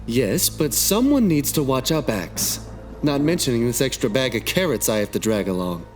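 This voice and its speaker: A man's voice: mid-range voice, very animated, normal speed, soft background music, close to an everyday voice. A man playing a curt, commanding rogue leader with dry sarcasm in a sci-fi game fan dub. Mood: dry, sarcastic. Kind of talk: visual novel fan dub acting.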